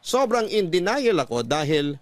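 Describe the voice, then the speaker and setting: An actor playing a grieving young father, telling his story in solemn, measured radio-drama narration. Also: high voice, big pitch swings, no echo. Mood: sorrowful. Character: Sam, grieving young father recounting his baby's death. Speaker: letter narrator. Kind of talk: radio-drama first-person narration in Tagalog. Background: music.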